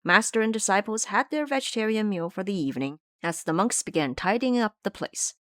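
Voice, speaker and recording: A woman narrating a scripted audio drama in an expressive, theatrical storytelling manner. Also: mid-range voice, brisk, dry recording, clean studio sound.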